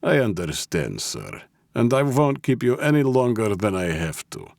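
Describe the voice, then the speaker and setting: Smooth Russian gangster, raised pitch. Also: male voice, big pitch swings, no echo, clean studio sound. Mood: smoothly polite. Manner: courteous, measured, quietly menacing. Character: smooth, menacingly polite Russian gangster boss.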